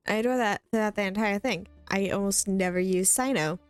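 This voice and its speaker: A woman's voice: medium pitch, a little fast, clean tone, no echo. A woman giving casual commentary as a streamer while playing a game. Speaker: gaming streamer. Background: game music.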